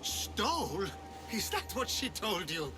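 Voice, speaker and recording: A man playing a scornful, excitable small-time swindler in a video game. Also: high-pitched, slow, music in the background, heavily acted. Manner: sneering, excitable. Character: small-time male swindler, scornful, defiant, cowardly when hurt. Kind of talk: video game cutscene acting.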